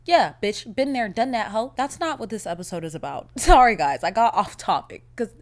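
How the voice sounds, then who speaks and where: A young woman hosting her own podcast, chatting casually in a blunt, profane, animated way, her voice a little raspy from a cold. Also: a little high, normal speed. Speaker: podcast host. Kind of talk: casual solo podcast chat.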